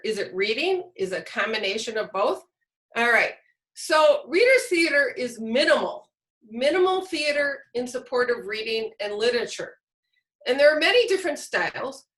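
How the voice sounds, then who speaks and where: A woman with a fairly high-pitched voice leads an online workshop for teachers. She explains things step by step and puts questions to her listeners as she presents over a video call. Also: fairly bright tone, poor audio.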